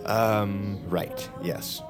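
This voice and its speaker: A detained assassin in an audio drama, with a fairly low voice. He is tense and halting, pleading through strained politeness. Music plays clearly behind him. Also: steady tone. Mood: strained, insistent. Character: tense, desperate detained male assassin insisting he is sane.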